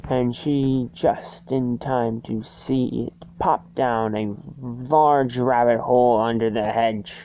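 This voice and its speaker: A person giving an amateur dramatic reading of a storybook, halting and stumbling over the words. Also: medium pitch, steady tone, warm tone, dull audio, a little hiss or hum. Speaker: reader.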